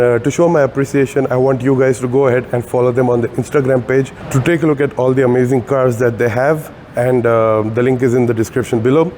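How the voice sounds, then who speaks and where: A man's voice: mid-range voice, darkish tone, slight background noise. A man with a sore throat giving a casual walkaround car review in a showroom, chatty and full of fillers. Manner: chatty, many "uh" fillers, addresses viewers as guys.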